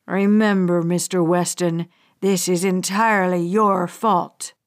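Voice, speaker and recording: A woman doing a low, growling male hijacker. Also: fairly even intonation, takes it slowly. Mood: menacing. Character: menacing male hijacker, cold and threatening.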